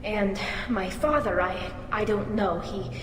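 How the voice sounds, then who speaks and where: A woman's voice: medium pitch, slow pace, background noise, lightly acted. A woman playing an earnest, emotional young alien engineer on trial in a video game cutscene. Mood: worried, earnest. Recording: echoey hall, music underneath.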